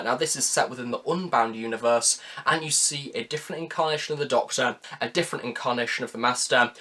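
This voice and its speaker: Male reviewer, medium pitch, conversational narration, video host presenting recommendations. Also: a bit bright.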